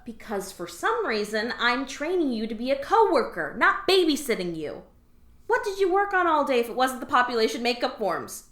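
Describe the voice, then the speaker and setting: A woman's voice: a little high, sing-song, normal speed, fairly bright tone. A woman playing a sarcastic, weary alien office worker who scolds and trains her lazy new coworker in a comedic audio drama. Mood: exasperated. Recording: roomy echo.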